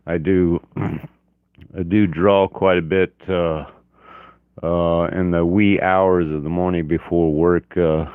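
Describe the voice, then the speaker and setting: A man hosting his art channel in a relaxed livestream chat from his home office, hoarse from a cold and talking haltingly with many fillers. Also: sits low, no echo, narrow, tinny audio. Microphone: external mic on camera.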